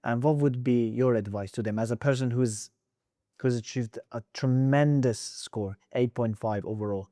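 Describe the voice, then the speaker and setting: Woman host, interviewer, very deep voice, eager questions, short affirmations. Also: normal speed, warm tone, no echo, studio quality. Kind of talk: casual interview conversation.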